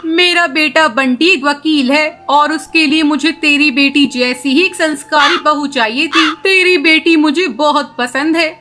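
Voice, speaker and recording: Woman voicing a bright, high-pitched bossy auntie bird. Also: measured pace, few pauses. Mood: friendly, brisk. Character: bossy middle-aged female bird, auntie type. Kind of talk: cartoon story dialogue.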